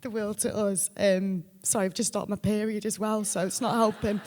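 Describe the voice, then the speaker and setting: A woman with a husky voice, giving a candid, joking, off-the-cuff acceptance speech. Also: mid-range voice, flat delivery, moderate pace, a little mellow. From Rhyl, North Wales. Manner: candid, joking, breathless rambling. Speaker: award winner, writer and performer. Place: stage at an awards ceremony in a hall. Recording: echoey hall with long reverb.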